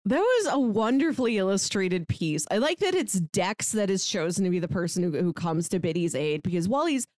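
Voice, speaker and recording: A woman co-hosting a podcast, casually discussing a book in a reflective, analytical way, pausing to find words. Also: mid-range voice, very animated, a little mellow, dry recording, studio quality. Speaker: podcast co-host.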